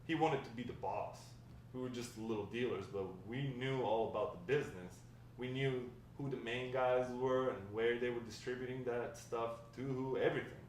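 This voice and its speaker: A man's voice: mid-range voice, moderate pace, a little mellow, natural voice. Distant, echoey man reading as a wry grandfather. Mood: reminiscing. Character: wry old grandfather spinning tales of his drug-dealing past. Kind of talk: table read of a script. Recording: distant, very echoey room, faint noise.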